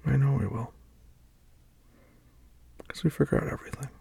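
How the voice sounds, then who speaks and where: A man speaking softly and reassuringly to a partner, often dropping to a tender whisper in an intimate one-sided roleplay. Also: medium pitch, moderate pace, creaky, husky, no room sound. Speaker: boyfriend speaking to an unseen listener.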